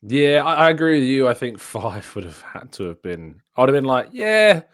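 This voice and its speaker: A man's voice: mid-range voice, sing-song, moderate pace, dry recording. Young man, podcast host, chatty, self-deprecating, desk mic at home, dry sound. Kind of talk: casual football podcast chat. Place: home room, video call. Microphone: studio mic on desk with headphones.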